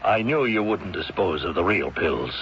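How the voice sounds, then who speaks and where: An actor playing a grave, resolute doctor making accusations in a measured 1970s radio mystery style. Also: male voice, sits low, very animated. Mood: grave, insistent. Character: grave, resolute male doctor confronting a killer. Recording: muffled, noisy 1970s radio recording.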